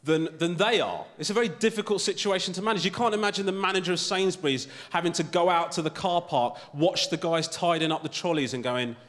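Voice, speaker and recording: A man's voice: high voice, fast talker, hardly any pauses, a bit bright, in a large hall. A male stand-up comedian doing a conversational routine on a TV studio stage in front of a live audience, often tagging his lines with "right?".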